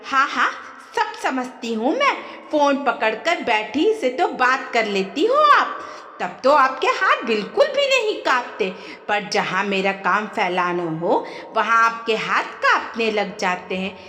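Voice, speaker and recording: A woman's voice: on the high side, sing-song, unhurried, bright, forceful tone, full character voice. A woman voicing an irritable, sarcastic, scolding daughter-in-law in a family audio story. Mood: angry scolding. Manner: nagging, sarcastic, grumbling. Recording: echoey, long reverb.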